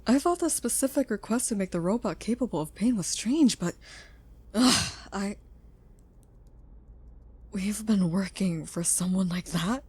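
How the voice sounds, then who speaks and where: A woman's voice: medium pitch, animated, measured pace, pauses often, a little mellow, slightly rough. A woman playing an earnest, curious scientist in a scripted audio drama. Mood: stunned. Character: earnest, curious female scientist who built a robot. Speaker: voice actor in an audio roleplay.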